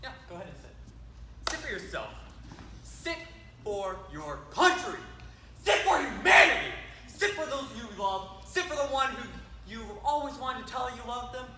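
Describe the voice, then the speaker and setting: Man acting high-voiced, excitable squad leader on stage. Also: normal speed, exaggerated voice. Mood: rousing. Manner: theatrical, rambling, sidetracked. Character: excitable young squad leader giving a morbid, rambling pep talk. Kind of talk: amateur stage comedy acting. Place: stage in an echoey hall. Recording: noisy echoey hall stage recording.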